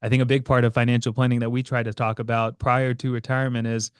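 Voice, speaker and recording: A man co-hosting a podcast as a financial planner, explaining in a casual, halting way with many fillers. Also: medium pitch, level tone, clean tone, dry recording. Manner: hesitant, many fillers and restarts.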